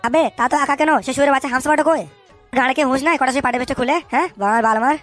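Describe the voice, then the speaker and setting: A high, extremely fast cartoon boss voice done by a performer, bullying and suspicious. The delivery hectors in coarse slang, full of curses and tag questions, over background music. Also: a bit bright. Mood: angry, suspicious. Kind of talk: comic cartoon dub with street slang.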